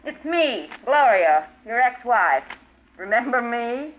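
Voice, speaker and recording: A needling, familiar ex-wife in old radio drama. She coaxes and pushes with widely swooping pitch. The sound is thin and scratchy. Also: sing-song, slow, phone-quality sound. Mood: teasing. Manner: teasing, familiar, pushy. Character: brash, coaxing ex-wife, adult woman, needling and familiar. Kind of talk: 1940s radio drama acting.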